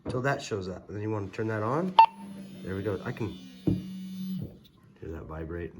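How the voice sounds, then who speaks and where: A man's voice: lowish voice, expressive, moderate pace, over loud music. A man giving a casual, hands-on gadget tutorial, explaining step by step in a hesitant way. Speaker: product reviewer, presenter. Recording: very noisy, electronic beeps and clicks.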